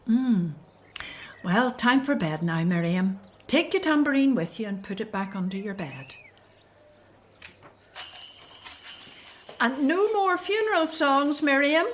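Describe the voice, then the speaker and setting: A woman playing a gentle, faithful Hebrew mother in a biblical radio drama, with emotional delivery. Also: high-pitched, animated, unhurried, slight background noise. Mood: motherly. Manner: gentle, emotional, breathy sighs. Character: tender, faithful Hebrew mother, fearful for baby son.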